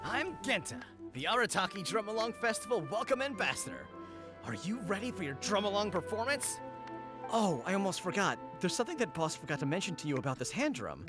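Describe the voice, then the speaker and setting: Eager, very animated young henchman. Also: high-pitched, fairly quick, music in the background. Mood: eager, earnest. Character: eager young male gang underling, festival greeter. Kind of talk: video game character acting.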